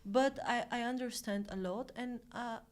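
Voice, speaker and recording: A young woman from Armenia speaking English with an Armenian accent, a guest chatting casually in an interview, halting often with fillers and restarts. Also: a little high.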